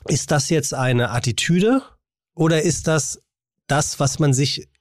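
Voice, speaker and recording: A man's voice: fairly high pitch, measured pace, dry recording, clean studio sound. A man talking casually as a podcast interviewer, phrasing his thoughts in a hesitant, roundabout way. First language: German.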